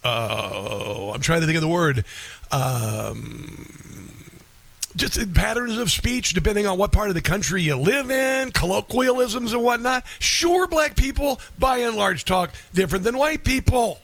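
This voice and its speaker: A man's voice: high-pitched, animated, a little slow, creaky, fairly bright tone. A male radio talk show host delivering an indignant, scoffing opinion monologue into a studio microphone.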